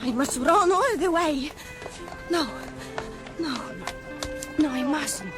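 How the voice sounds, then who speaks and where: A woman's voice: high-pitched, takes it slowly, over music. An actress playing an earnest, naive young Spanish woman in a radio drama, devout and a little breathless. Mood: anxious, flustered. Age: young adult.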